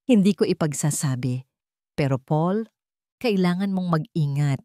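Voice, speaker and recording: Woman narrator as smooth scheming male ex, lowish voice. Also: animated, unhurried, dark tone, no echo, studio quality. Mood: earnest, insinuating. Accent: Filipino. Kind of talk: dramatic story dialogue.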